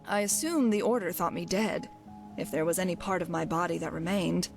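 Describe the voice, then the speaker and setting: A woman's voice: on the low side, a little fast, soft background music, natural voice. A woman playing a brash, wry undying thief and adventurer as a companion in a fantasy video game, speaking theatrically. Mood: wry, matter-of-fact. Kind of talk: voiced game dialogue.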